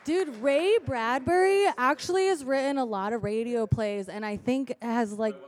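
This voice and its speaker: Higher-pitched woman hosting a casual podcast. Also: normal speed. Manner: informal, slangy. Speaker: podcast host. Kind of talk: casual chat.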